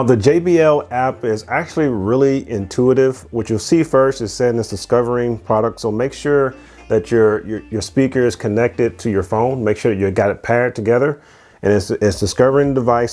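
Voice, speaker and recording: A man's voice: medium pitch, normal speed, darkish tone, faint music behind. A man giving a casual, conversational product review as host, explaining things step by step and repeating phrases as he goes.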